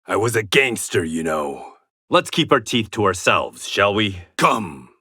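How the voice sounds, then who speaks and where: A man's voice: fairly low pitch, sing-song, measured pace, sharp tone, husky, no room sound, studio quality, heavily acted. An actor playing a proud warrior and former gangster in a video game, confident and terse, with a sardonic edge to his short fight taunts. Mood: wry, determined.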